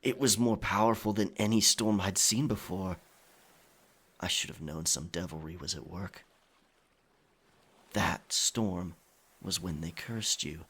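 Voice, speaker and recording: A man playing a roguish, gallant pirate captain in an ASMR audio roleplay, with a husky, breathy voice and dramatic, unhurried delivery. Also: sits low, exaggerated voice. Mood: grave realization. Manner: slow, dramatic, hushed at times.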